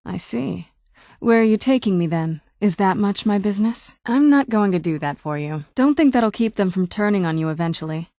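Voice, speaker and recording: A woman's voice: medium pitch, expressive, normal speed, dark tone, no room sound, lightly acted. A woman playing a guarded, wry young-looking vampire noblewoman in a video game, dry and understated. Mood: guarded, direct. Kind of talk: video game character dialogue.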